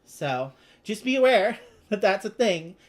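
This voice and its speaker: A board game host talks through the rules in a medium-pitched voice. The delivery is casual and chatty, full of informal, enthusiastic asides. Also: big pitch swings, measured pace.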